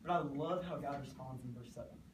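A teenage man delivers an earnest, measured sermon in short phrases, his voice sitting in the middle of the usual range. He is recorded by a camera well back from the stage, so the room's echo is loud and carries behind every word, with a steady ambient hiss underneath. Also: mid-range voice. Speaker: student preacher. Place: classroom with a small stage, indoors. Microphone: far camera, no microphone. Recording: echoey room from far off.

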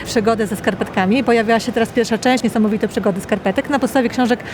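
Fast-talking woman over background noise. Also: on the high side, fairly even intonation. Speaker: cinema manager. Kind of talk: interview answer. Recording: clear urban ambient noise.

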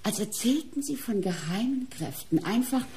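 An actress in a German radio crime drama playing a painter's bitter, mocking wife, theatrical and measured in delivery. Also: female voice, fairly high pitch, animated, dark tone, slight background noise.

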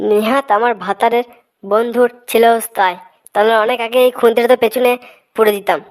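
A woman voicing a fierce village housewife in a comic cartoon, sharp-tongued and menacing. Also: a little high, fairly even intonation, brisk, close to an everyday voice. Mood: threatening. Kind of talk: comic Bengali cartoon dubbing.

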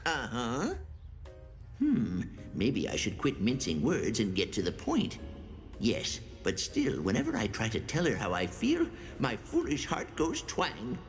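A pompous old fairy man, lovesick and scheming. The delivery is flowery and theatrical, leaping around in pitch in cartoon dub fashion. Loud music plays under the voice. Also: wildly swooping pitch, a little slow. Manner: theatrical, flowery speech with emotional outbursts. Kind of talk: anime dub acting.

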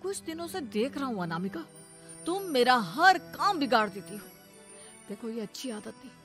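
Lady doing a fed-up young husband in a cartoon, complaining, forceful, bit high. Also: sing-song, moderate pace, faint music behind. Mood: angry reproach. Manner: reproachful, complaining. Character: exasperated young husband, an office worker, quick to blame. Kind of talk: animated family drama voice acting.

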